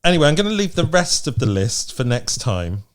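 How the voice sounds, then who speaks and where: A man's voice: a little high, very animated, a little fast. A British man co-hosting a podcast, chatting casually and reading out lists in a frank, playful way. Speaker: podcast co-host. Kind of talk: casual chat, reading out from a list.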